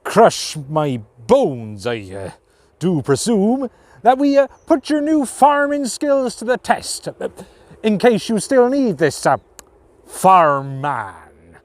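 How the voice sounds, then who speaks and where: A man playing a quick-witted, polite but nervous farmer in a storybook tale, courteous and theatrical. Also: sits high, very animated, dry recording, pitched above the performer's own voice, heavily acted. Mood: nervous, wheedling. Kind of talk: storybook character voice.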